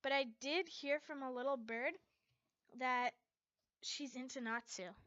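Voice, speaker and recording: A woman's voice: high-pitched, a little slow, a bit bright, dry recording, highs cut off. A woman voicing a giggly, teasing teenage girl, a best friend in a fan fiction story. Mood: curious.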